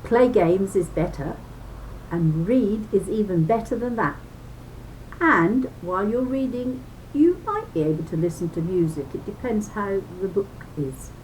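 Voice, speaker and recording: Slow-paced woman reading to children, noisy recording. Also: medium pitch, animated, a little mellow. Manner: teacherly, emphatic, addresses listeners with questions. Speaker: reader of a children's book. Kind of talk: read-aloud children's book with chatty asides.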